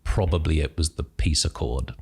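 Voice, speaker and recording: A middle-aged man from Northern Ireland with a British accent, a podcast guest who speaks thoughtfully with frequent pauses and restarts. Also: a bass voice, darkish tone, husky. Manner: thoughtful, hesitant, many ums and restarts. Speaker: guest, impact investor. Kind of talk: podcast interview.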